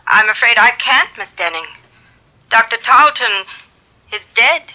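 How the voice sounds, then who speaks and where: A composed doctor's secretary in an old radio play. She speaks with formal, measured reserve and keeps her politeness. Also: a little high, animated, pushed and bright, full character voice, rough recording. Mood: grave, polite. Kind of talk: 1950s radio drama acting.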